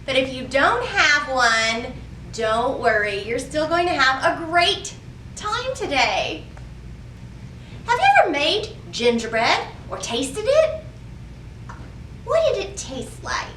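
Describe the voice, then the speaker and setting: A woman's voice: sits high, sing-song, slow, pauses often, pushed and bright. A woman hosting a library storytime, addressing young children with questions and prompts as she reads and shares rhymes. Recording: roomy echo, distant mic, faint noise.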